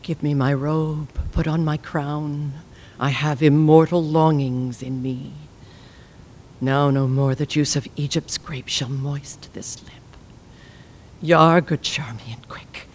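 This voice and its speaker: A woman's voice: low-pitched, a little mellow, full character voice. A woman playing a grieving, regal Egyptian queen resolved on death, in stately, impassioned classical Shakespearean verse. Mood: resolute, mournful. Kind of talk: classical Shakespearean stage acting.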